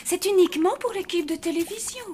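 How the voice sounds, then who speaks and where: A woman voicing an anxious, sweet zombie mother who runs a hotel in an animated cartoon, apologetic and flustered. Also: very high-pitched, fast.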